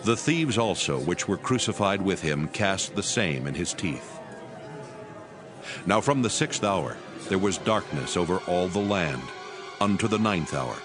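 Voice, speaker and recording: Deep solemn male scripture narrator. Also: expressive, music in the background. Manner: solemn, measured reading.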